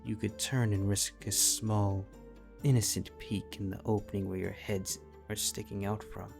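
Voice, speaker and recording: A man narrates a scripted piece of fan fiction. His voice sits very low, and music plays clearly behind him. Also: flat delivery, moderate pace.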